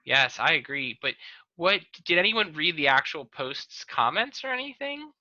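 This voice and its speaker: A man with a piercingly bright, fairly high voice rambles and jokes as a podcast host. He trails off mid-sentence often. The sound is dry and a little muffled, like video-call audio. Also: big pitch swings, moderate pace, no echo. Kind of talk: casual chat on a video call.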